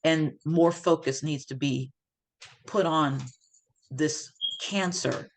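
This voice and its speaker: Older woman, deep slow emphatic voice. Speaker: patient sharing her story. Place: home room. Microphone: video call webcam.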